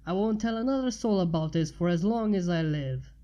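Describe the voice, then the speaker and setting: A woman's voice: lowish voice, expressive, brisk, warm tone, lo-fi sound, close to an everyday voice. A woman voicing a blunt, teasing, skeptical female friend in a story reading. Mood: sarcastic. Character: sarcastic, skeptical woman friend, easily alarmed.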